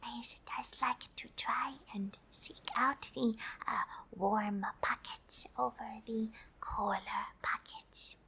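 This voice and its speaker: A woman's voice: a little high, fairly even intonation, slow pace, gravelly, few pauses, pushed and bright, breathy edge, exaggerated voice. A woman voicing a friendly, polite alien who is curious about humans, speaking hesitantly in an ASMR roleplay. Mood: gentle, explaining. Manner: hesitant, speaks of self in third person.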